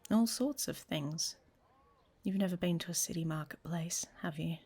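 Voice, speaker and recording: A worldly, wry woman traveler, voiced by a woman in an audio roleplay, teasing and often dropping to a half-whisper. Her voice is low, husky and gravelly, and she takes her time over every word. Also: normal speed, a little mellow. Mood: playful bossy. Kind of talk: audio roleplay addressed to the listener.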